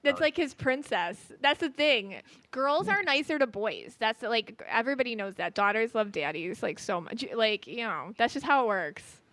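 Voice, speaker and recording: A woman's voice: fairly high pitch, lively intonation, normal speed, hardly any pauses, fairly bright tone, no room sound. A woman hosting a podcast, chatting casually and bubbly, often laughing, as she reads her guests' energy. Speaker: podcast host and aura reader.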